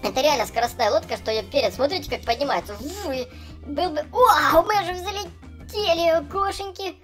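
A man's voice: extremely high pitch, normal speed, a bit bright. A man voicing a cheerful young clown for small children, gushing and chatty as he narrates gameplay. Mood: excited. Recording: voice over background music. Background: music.

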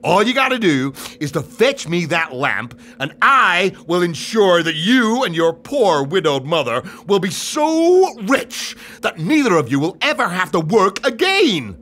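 A man voicing a scheming, bombastic old wizard uncle in theatrical, drawn-out children's storytelling. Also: big pitch swings, bright, forceful tone, full character voice. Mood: wheedling, persuasive. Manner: booming, theatrical, drawn-out, wheedling or commanding.

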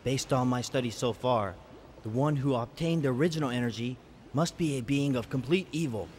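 An actor playing a stoic, righteous giant alien robot in a game cutscene, speaking in a solemn, deliberate, formal manner. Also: male voice, medium pitch, noisy background, close to an everyday voice. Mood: solemn, resolute. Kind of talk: animated game cutscene dubbing.

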